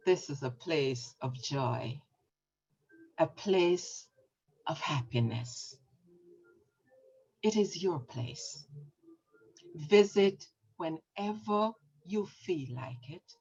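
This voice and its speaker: A woman voicing a gentle, loving divine inner voice that speaks softly and reassuringly in a guided meditation. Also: low voice, animated, husky, full character voice. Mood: welcoming. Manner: soft, slow, whispery and reassuring. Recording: dull lo-fi recording.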